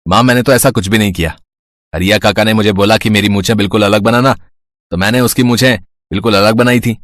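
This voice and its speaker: A man voicing a foolish, overconfident young barber's son, boastful and earnest, in a Hindi animated story. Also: lowish voice, fairly quick, no room sound, lightly acted. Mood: defensive.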